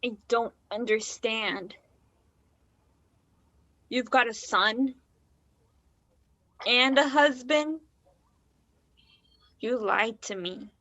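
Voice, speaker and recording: A woman's voice: a little high, drawn-out words, long pauses, bright, forceful tone, heavily acted. An actress playing a hurt, uncertain woman in a stage play, subdued and hesitant, in muffled audio. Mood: hurt, confused.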